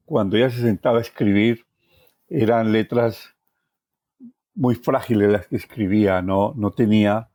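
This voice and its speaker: An older Colombian man telling personal stories in a reflective, expressive way as an interview guest. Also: fairly low pitch, no room sound. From Valle del Cauca, Colombia. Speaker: journalist, podcast guest. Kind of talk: personal storytelling.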